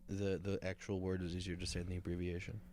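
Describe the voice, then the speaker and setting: A man's voice: deep voice, monotone, fast, darkish tone, a little hiss or hum. A young man co-hosting a podcast in casual chat, laid-back and rambling, with lots of "like". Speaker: podcast co-host.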